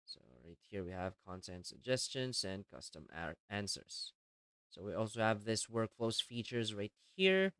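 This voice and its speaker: A man narrating a step-by-step software tutorial in a plainly explanatory, instructional way. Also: fairly low pitch, animated, moderate pace, clean tone, dry recording. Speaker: tutorial presenter.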